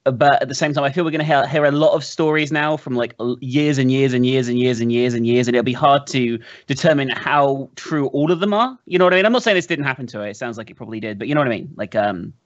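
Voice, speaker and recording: A man's voice: medium pitch, fast talker, hardly any pauses, dry recording. A man giving casual commentary as a podcast host, rambling, qualifying himself and swearing. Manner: rambling, hedging, profane. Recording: dry, slightly dull podcast audio.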